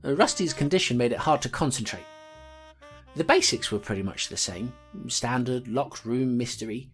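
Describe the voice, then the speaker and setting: Creature sleuth, deep for female, highly expressive pitch, clipped noir narration, grim, audio drama. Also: normal speed, faint music behind. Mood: brooding, recounting. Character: small clawed creature investigator, streetwise, grimly self-deprecating narrator. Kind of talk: dramatic first-person storytelling in an audio drama.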